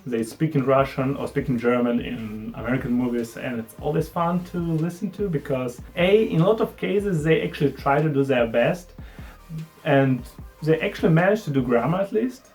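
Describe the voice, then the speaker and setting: A man's voice: mid-range voice, moderate pace, a little mellow, soft background music. A young man, a native Ukrainian speaker with an accent, chatting casually to camera in his vlog with a self-deprecating, friendly manner. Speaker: vlogger. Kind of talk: casual talking-head vlog.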